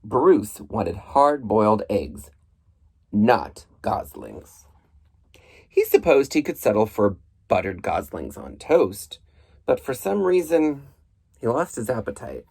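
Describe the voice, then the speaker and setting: A man reading a children's book aloud in an expressive, playful storybook style, with a husky voice. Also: fairly low pitch, takes it slowly. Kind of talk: animated storybook read-aloud.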